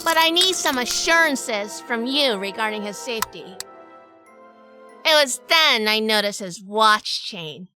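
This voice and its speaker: A woman's voice: a little high, animated, a little slow, bright, forceful tone, faint music behind. A woman playing a shrewd, sassy, hardboiled heroine narrating a detective audio drama. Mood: shrewd, cool. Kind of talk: first-person detective drama acting and narration.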